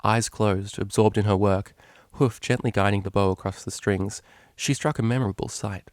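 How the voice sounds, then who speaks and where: A man with a fairly low voice narrates a scripted story. He reads as a storyteller, and the recording is dry with very little room sound. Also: fairly even intonation, moderate pace, a little mellow, dry recording. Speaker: story reader.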